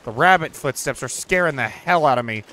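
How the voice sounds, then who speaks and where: A young man giving casual, chatty commentary over a survival game, reacting out loud and swearing now and then. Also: mid-range voice, expressive, brisk. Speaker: gaming channel host. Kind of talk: casual let's-play commentary. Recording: faint game ambience behind.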